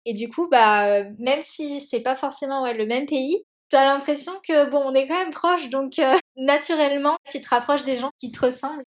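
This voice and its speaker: A woman's voice: sits high, moderate pace, hardly any pauses. A young woman hosting a podcast, chatting casually with fillers and frequent laughs. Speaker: podcast host. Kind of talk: casual conversational interview.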